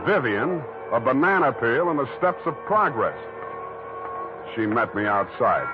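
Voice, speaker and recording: Cynical private eye, American accent, deadpan wisecracks, 1940s radio noir, dull vintage sound, prominent music. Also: male voice, mid-range voice, a little slow. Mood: wry narration. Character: wisecracking, cynical hardboiled private detective narrating his case. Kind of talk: 1940s radio detective drama acting. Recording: muffled vintage radio recording, loud music.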